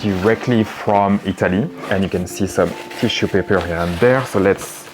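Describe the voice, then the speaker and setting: A man with a French accent, talking calmly to camera in a casual vlog with frequent fillers. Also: on the low side, background noise. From France. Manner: calm, measured, frequent "you know" fillers. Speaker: menswear and vintage YouTuber. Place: home in Southern California.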